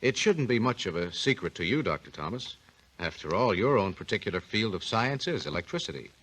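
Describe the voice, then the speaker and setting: Folksy 1940s radio host asking questions. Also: male voice, on the low side, big pitch swings, fairly quick, a bit bright, rough recording. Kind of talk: scripted 1940s radio talk.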